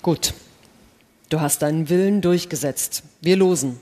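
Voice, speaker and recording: A woman's voice: low-pitched, a little slow, warm tone. An actress playing a distrustful, defensive female astronaut, frightened and scornful, with curt accusing retorts in a sci-fi radio play reading. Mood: wary, resigned. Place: large hall, stage reading. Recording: echoey hall, long reverb.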